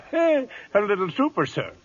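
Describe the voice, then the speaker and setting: A man's voice: high voice, huge pitch swings, a little slow, breathy edge, heavily acted. A man acting a cheeky, sentimental and loyal cavalry sergeant in an old-time radio drama, respectful but teasing. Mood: chipper. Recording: dull vintage radio broadcast.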